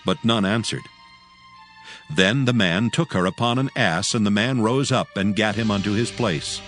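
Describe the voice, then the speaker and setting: A man's voice: fairly low pitch, moderate pace, a bit bright, faint music behind. A man narrating scripture dramatically, with a solemn and formal delivery.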